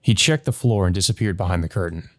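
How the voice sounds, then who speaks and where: A man's voice: low voice, quick pace. A man narrating a visual novel as a let's play host, reading aloud and adding hesitant commentary of his own.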